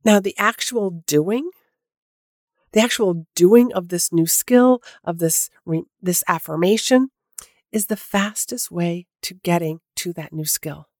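A woman's voice: fairly low pitch, measured pace, a little mellow, dry recording, clean studio sound. A middle-aged woman hosting a solo podcast as a coach, sounding nasal from a head cold, talking casually and encouragingly with lots of "like"s. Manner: casual, encouraging, many filler "like"s.

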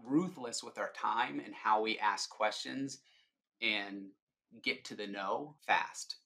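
A man's voice: fairly low pitch, takes it slowly, creaky, sharp tone. A middle-aged man giving informal, explanatory answers as a sales leader being interviewed on a podcast.

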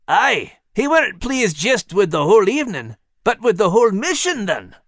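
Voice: mid-range voice, sing-song, normal speed, a bit bright, no room sound, studio quality, pitched above the performer's own voice. A narrator voicing a wry animal character with a folksy dialect. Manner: folksy dialect, says aye and lass.

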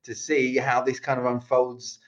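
A man's voice: mid-range voice, completely flat delivery, unhurried, highs cut off. A middle-aged British man from London, a speaking coach, chatting as a podcast guest over a video call and rambling with restarts. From England. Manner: rambling, many restarts and self-corrections. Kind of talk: casual interview chat over video call.

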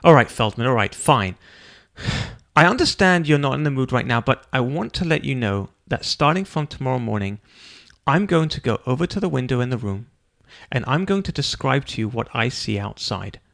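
A man voicing a cheerful, eager elderly man in a wheelchair, talking in excited, pleading bursts. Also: mid-range voice, moderate pace, vocal fry. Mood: coaxing.

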